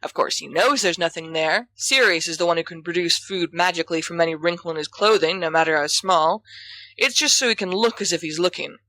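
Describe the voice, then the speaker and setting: A woman reading a scripted story aloud as narrator, with expressive delivery. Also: on the low side, fairly quick, dry recording. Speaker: audiobook reader of fan fiction.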